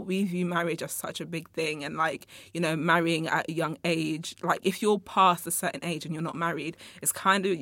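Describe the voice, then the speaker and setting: A woman's voice: fairly low pitch, fairly bright tone, no echo. Chatty young woman podcaster. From born in Congo, raised in England. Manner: chatty and rambling, addresses listeners as "guys". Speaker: podcast host. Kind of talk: casual solo podcast monologue. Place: studio.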